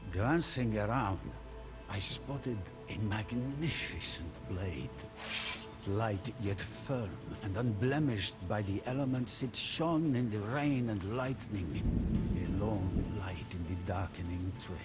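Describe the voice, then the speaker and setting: A man playing a soldier who recounts a mysterious tale as a solemn, theatrical, measured game narrator. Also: fairly low pitch, lively intonation. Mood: solemn, wondering. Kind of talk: dramatic scripted storytelling. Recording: studio narration under prominent music.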